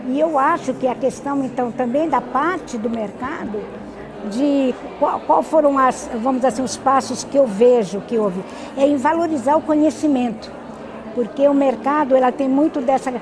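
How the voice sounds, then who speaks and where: A woman researcher with a Brazilian accent speaks in a high-pitched voice over busy crowd chatter. She explains her points in an interview, haltingly, with frequent little check-in questions. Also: rough recording. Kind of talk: spoken interview answers. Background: crowd noise.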